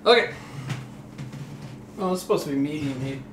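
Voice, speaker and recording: A man in his early forties with a fairly high voice rambles through a casual story, laughing and swearing as he goes. He sounds a little tipsy from rum. The room around him is echoey with faint noise behind. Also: lively intonation, moderate pace. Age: 40s. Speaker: comedian streaming while cooking.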